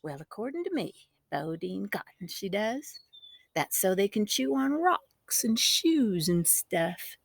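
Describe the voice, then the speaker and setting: Woman as a slow, calm, ornery little country boy. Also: medium pitch, vocal fry, no room sound, clean studio sound, exaggerated voice. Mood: smugly insistent. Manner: unhurried, matter-of-fact, folksy. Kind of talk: children's storybook character voice.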